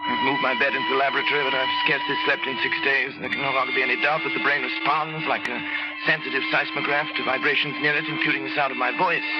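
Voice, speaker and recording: A man playing a driven, obsessive scientist in an intense, theatrical 1940s radio drama style with dramatic pauses. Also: on the high side, lightly acted. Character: obsessive, driven male scientist keeping a brain alive. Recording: dull vintage 1940s radio recording, loud background.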